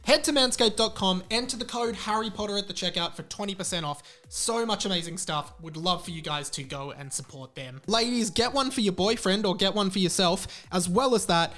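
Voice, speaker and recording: High-pitched man, chatty and frank. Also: fairly quick, hardly any pauses, pushed and bright, faint music behind. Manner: informal, frank, emphatic repetition. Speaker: podcast host. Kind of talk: casual podcast talk and chatty ad read.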